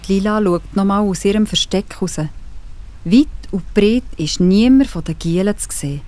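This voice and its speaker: A woman's voice: medium pitch, normal speed, warm tone. A woman with a Swiss accent narrating a scripted children's story.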